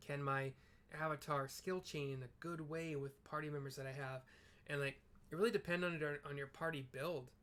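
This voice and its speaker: A man's voice: fairly high pitch, a bit bright. A man giving casual, rambling gameplay commentary as a streamer, explaining things informally as he thinks aloud. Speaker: video game streamer.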